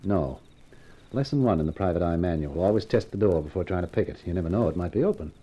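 A cocky, tough private eye from a 1950s radio thriller, talking in wry slang. His pitch swings widely as he narrates and trades lines, over the faint noise of an old broadcast recording. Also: lively intonation, quick pace, mellow. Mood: cool, wry. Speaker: lead actor and narrator. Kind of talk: 1950s radio detective drama acting, first-person narration.

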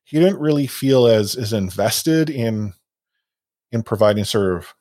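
A man hosts a casual podcast, talking into a podcast mic. His voice sits a bit low, and he rambles with frequent fillers, restarts and self-deprecating asides. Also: expressive, a little slow, dark tone, no room sound, clean studio sound. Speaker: podcast host.

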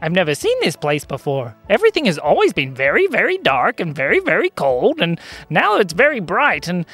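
A storyteller puts on a tiny carrot man, jittery and curious, drawing out words as he marvels at a bright new world. The voice leaps around in pitch, full of wonder. A faint bed of music sits under it. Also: huge pitch swings, husky, heavily acted. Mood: bewildered. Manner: slow, wondering, childlike questions.

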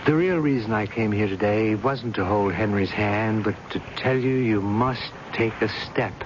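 An actor playing an ardent, protective secret lover in an old-fashioned radio drama, earnest and coaxing. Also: male voice, lowish voice, moderate pace, close to an everyday voice. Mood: urgent. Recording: dull, noisy old radio broadcast recording.

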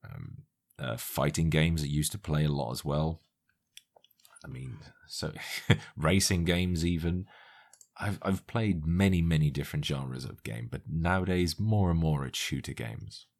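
A man's voice: rumbling low, measured pace, gravelly, darkish tone. A man with a Dutch-tinged British English accent, answering interview questions in a frank, matter-of-fact way. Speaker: YouTuber guest. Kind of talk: interview conversation.

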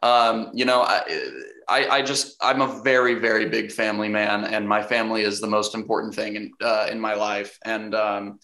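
A young man, an actor, answering interview questions casually, hesitant and full of restarts, with a fairly deep voice. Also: completely flat delivery, moderate pace, pushed and bright. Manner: hesitant, many restarts and fillers, laughs easily. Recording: roomy echo.

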